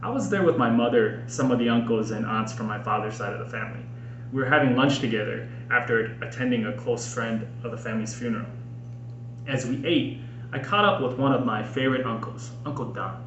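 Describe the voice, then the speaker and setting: A man's voice: mid-range voice, fairly quick, muffled recording. American man reading in an echoey room. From Minnesota, United States. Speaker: podcast host and author reading own book. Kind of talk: audiobook-style personal reading. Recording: roomy echo with faint background noise.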